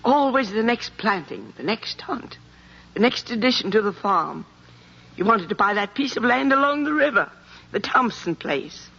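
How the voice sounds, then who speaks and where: An actress playing a stubborn, troubled older farm mother with an American accent, halting and wistful, in the style of 1950s radio drama. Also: a little high, animated, creaky, pushed and bright, slightly rough, heavily acted. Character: stubborn, troubled old farm mother clinging to her house. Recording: muffled 1950s radio recording, music.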